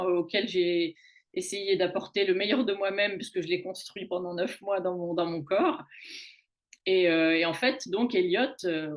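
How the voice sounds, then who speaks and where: A woman in her late forties speaks as a guest on a talk show, in a loose conversational way. She often stops, repeats a word and restarts, filling the gaps with small fillers as she thinks aloud. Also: lowish voice. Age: late 40s. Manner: halting, many restarts and fillers. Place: in Canada.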